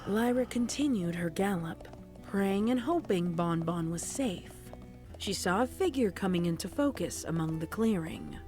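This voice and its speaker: A woman's voice: medium pitch, lively intonation, moderate pace, darkish tone, soft background music. A woman narrating horror fiction in a scripted, dramatic storytelling style.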